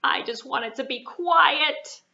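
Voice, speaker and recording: A woman voicing an exasperated male farmer in a puppet show, whiny and hesitant, with drawn-out complaints and flustered stammering. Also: sits high, creaky, pushed and bright, muffled recording, voice pushed up, full character voice.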